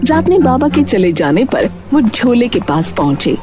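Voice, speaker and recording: A woman's voice: on the high side, sing-song, moderate pace, music in the background. A woman narrating a scripted story in a dramatic storytelling style.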